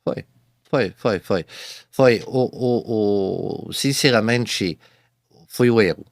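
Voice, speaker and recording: Halting man speaking Portuguese as a second language. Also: lowish voice, no echo, studio quality. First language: not Portuguese. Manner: halting, many repeated false starts. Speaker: podcast guest, businessman. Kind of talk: casual interview storytelling.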